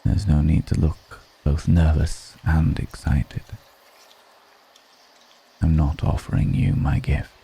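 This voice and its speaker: Man voicing a very deep, slow, brooding vampire. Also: little pitch movement, full character voice, low-quality recording. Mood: intimate, reassuring. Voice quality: very deep, dark, creaky murmur. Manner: slow, measured, poetic, pausing between phrases. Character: brooding undead male vampire, solemn, melancholic. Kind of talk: intimate ASMR roleplay monologue.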